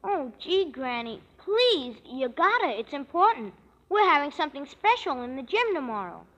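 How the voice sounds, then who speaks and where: A man's voice: very high voice, very animated, normal speed, a bit bright, pitched above the performer's own voice, full character voice. A man voicing a pleading thirteen-year-old boy in an old radio advertisement skit. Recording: dull old radio broadcast with long reverb.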